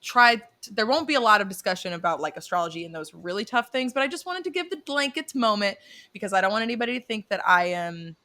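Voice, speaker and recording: Young woman podcast co-host, bright tone, animated casual chatter with frequent laughs. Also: mid-range voice, fast talker. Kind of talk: casual chat.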